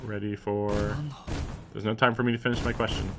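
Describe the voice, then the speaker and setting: A man's voice: fairly low pitch, level tone, a little fast. A man live-streaming a visual novel, reading the narration aloud and breaking into casual, incredulous commentary with some swearing. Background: game music.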